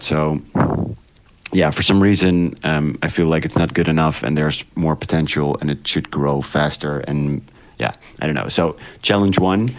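A man from the Netherlands giving casual, reflective interview answers in a halting, hesitant way. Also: rumbling low. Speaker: interviewed audio drama creator.

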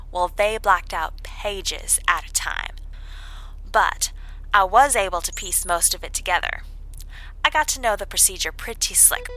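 A woman's voice: fairly low pitch, bright, forceful tone, low-quality recording. A woman playing a breezy, self-assured moonbase maintenance worker leaving a recorded note in an audio drama.

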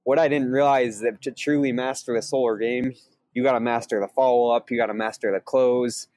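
An upbeat, energetic man co-hosts a casual chat and explains his trade with easy enthusiasm. His pitch sits in the middle range. The recording is dry and close, with no echo. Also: a little fast. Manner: upbeat, energetic, laughs easily. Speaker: podcast co-host, sales trainer. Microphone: remote call, each on own mic.

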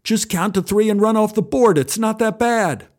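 A man's voice: high voice, normal speed, darkish tone, voice pushed up, lightly acted. A man voicing an impatient older diver urging on a kid in a told story. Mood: coaxing. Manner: urging, raised voice. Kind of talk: acted dialogue in a told story.

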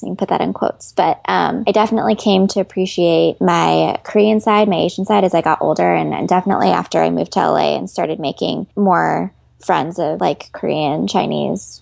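A woman's voice: fairly low pitch, vocal fry, darkish tone, no room sound. A woman from Chicago, speaking as an actress guest in a casual interview, chatty and laughing often, with lots of "like" and "you know". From Chicago, US Midwest.

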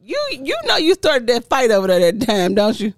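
A woman's voice: fairly high pitch, big pitch swings, moderate pace, dry recording, clean studio sound. A middle-aged woman chatting casually as a podcast co-host, teasing and exasperated in a slangy way. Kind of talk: casual banter with partner. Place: indoors at home.

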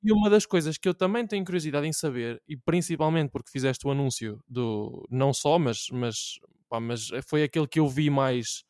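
A man hosting a podcast, asking questions in a halting, casual way. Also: fairly high pitch, darkish tone, no echo, clean studio sound. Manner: halting, many restarts and fillers. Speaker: podcast host.

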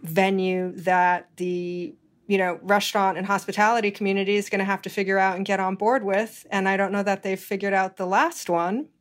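A woman's voice: medium pitch, fairly even intonation, fairly quick. A middle-aged woman from Hawaii hosting a food-industry podcast, speaking with many fillers and restarts. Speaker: podcast host. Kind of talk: conversational interview and read ad.

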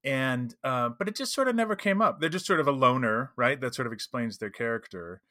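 A man with a bright, forward voice at an average pitch, talking as a podcast co-host. His delivery is casual conversation, captured dry with little room sound. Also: animated, a little fast, no room sound. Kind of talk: casual podcast chat about role-playing games.

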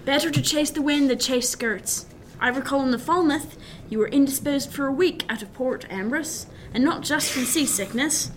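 A woman's voice: high-pitched, moderate pace, slight background noise, close to an everyday voice. A woman playing a level-headed, dryly witty young male officer in a period seafaring radio drama, with formal nautical phrasing. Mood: dryly teasing.

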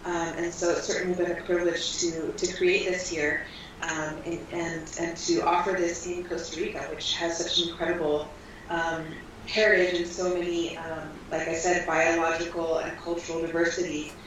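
A woman's voice: lowish voice, little pitch movement, moderate pace. A woman guest describing her school in flowing, earnest interview answers with frequent ums, heard from far off in a very echoey, noisy room.

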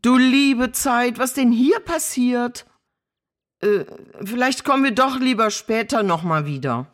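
A woman's voice: on the high side, expressive, unhurried. A woman playing a friendly, chatty neighbour in a children's audio drama. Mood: friendly, surprised.

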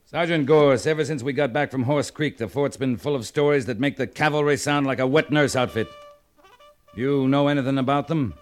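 An actor playing a wry, world-weary cavalry captain in a radio western, speaking in a dry, laconic, sardonic way. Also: male voice, mid-range voice, brisk, faint music behind, close to an everyday voice. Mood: dry, probing. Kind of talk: 1950s radio western acting. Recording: noisy old radio recording.

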